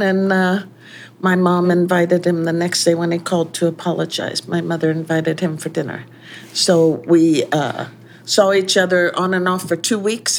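An older woman telling a lively story about her past in a relaxed interview, often laughing as she talks. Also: on the low side, slight background noise. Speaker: restaurant owner.

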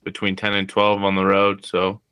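A young man chatting on a podcast, relaxed and laid-back with short answers. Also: low voice, moderate pace, smooth, no room sound. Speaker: podcast co-host, student. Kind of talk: casual chat.

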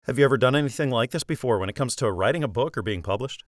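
A man's voice: medium pitch, animated, no echo. Polished male podcast host, dry studio. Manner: polished radio-style delivery, drawn-out listing. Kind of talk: interview host, scripted intro.

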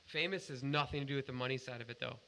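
A man's voice: mid-range voice, fairly even intonation, rapid-fire, gravelly, a loud crowd. A man co-hosting a casual podcast, talking earnestly and emphatically with plenty of swearing. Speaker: podcast co-host.

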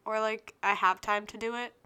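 Bright-voiced young woman rambling. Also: medium pitch, fairly even intonation, normal speed, clean tone. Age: early 20s. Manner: scattered, self-interrupting, chatty. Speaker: podcast host. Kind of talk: rambling solo podcast chat.

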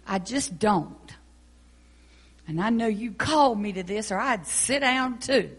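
A woman's voice: medium pitch, animated, unhurried, breathy edge. A woman playing a frustrated church singing teacher who prays aloud with emotional pleading, in a folksy dialect. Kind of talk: acted drama scene.

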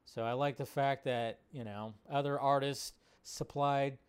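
A man's voice: mid-range voice, steady tone, a little slow, smooth. A man hosting a livestream, giving casual, enthusiastic and exclamatory reaction commentary in an informal way. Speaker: livestream host.

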